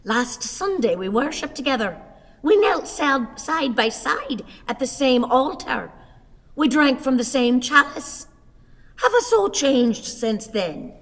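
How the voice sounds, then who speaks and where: Bitter old woman, slow and theatrical. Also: high voice, sing-song, long reverb tail, exaggerated voice. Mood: indignant, sarcastic. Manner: declamatory, halting, melodramatic. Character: bitter, self-pitying old woman, bent and sorrowful. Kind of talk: theatrical radio play acting.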